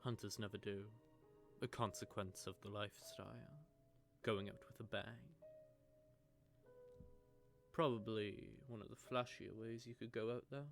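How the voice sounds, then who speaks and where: A sardonic male vampire acted by a man speaks in a low voice over prominent music. He taunts and teases his prey in a drawling, intimate monologue, menacing but secretly sentimental. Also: fairly even intonation, moderate pace, creaky. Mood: wry. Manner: teasing, taunting, drawling menace with soft asides. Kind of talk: scripted intimate audio roleplay monologue.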